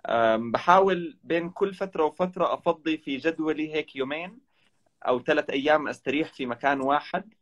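A young man, a travel vlogger guesting on a live video call from indoors, telling stories in a relaxed, animated way. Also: on the high side, fast, lo-fi sound. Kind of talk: casual live chat. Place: indoor room. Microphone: video call, webcam.

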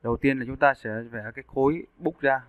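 A man giving a casual, step-by-step software tutorial as an animation instructor. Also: medium pitch, a little fast, smooth, dry recording. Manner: informal step-by-step explaining. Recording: muffled narrowband phone-like audio.

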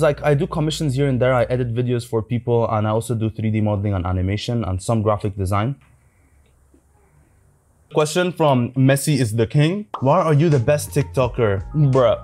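A man's voice: medium pitch, a little mellow. A young man from Nigeria with a mixed Lebanese and Nigerian accent, chatting through a food Q&A while eating at a table, on a lapel mic. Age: young adult. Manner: chatty, slangy, eating between answers. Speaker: food vlogger. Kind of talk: casual mukbang Q&A. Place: indoors at a dining table, shelves of glasses behind. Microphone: small lapel mic.

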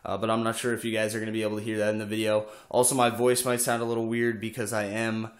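A man's voice: lowish voice, completely flat delivery, fast. A young man with a cold and a stuffy nose, chatting casually with lots of "uh" fillers while showing off his game collection in an echoey room at home. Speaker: video game collector vlogger. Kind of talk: casual show-and-tell.

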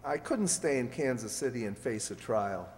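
A man playing a weary, anxious fugitive doctor and father in an old-time radio drama, troubled and halting, on a hall stage. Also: medium pitch, lively intonation, darkish tone, lightly acted. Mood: worried. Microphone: stand microphone. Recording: echoey hall stage recording.

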